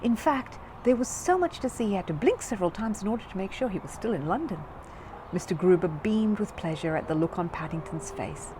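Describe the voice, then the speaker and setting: A woman narrating a children's storybook in an expressive, scripted storytelling style. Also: mid-range voice, fairly quick, background noise. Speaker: storyteller reading a children's book aloud.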